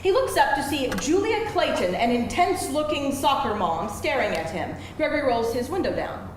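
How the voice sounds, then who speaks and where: A woman's voice: high voice, very animated, moderate pace, crowd noise. A woman reading stage directions as scripted narration at a live screenplay reading. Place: live event room before an audience. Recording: very echoey room, faint noise.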